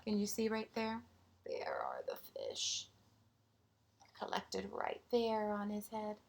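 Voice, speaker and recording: A woman's voice: medium pitch, wildly swooping pitch, slow, creaky. A woman teacher reading a storybook aloud to young children, expressively, with little explaining asides.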